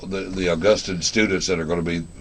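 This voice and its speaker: Low-voiced male radio host. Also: steady tone, quick pace. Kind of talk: casual live radio interview.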